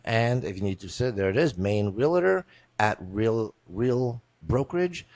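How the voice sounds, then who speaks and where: A man's voice: lowish voice, animated, a little slow, a little mellow, no room sound. A man hosting an interview on a video call, jokey and rambling, often repeating himself. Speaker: interview host. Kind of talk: casual interview.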